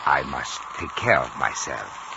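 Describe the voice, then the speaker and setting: A deep-voiced, courtly old Chinese lamasery host in a vintage radio play. He is formal and enigmatic, with swooping melody and deliberate phrasing, on a dull old recording. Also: animated, creaky, noisy background, heavily acted. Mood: courteous, evasive. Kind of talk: 1950s radio drama acting.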